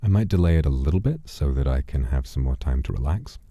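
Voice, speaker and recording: A man hosting a livestream, chatting casually and reflectively in a soft-spoken, sincere, unhurried way that often drops to a breathy murmur. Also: very deep voice, lively intonation, no echo, dull audio. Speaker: livestream host.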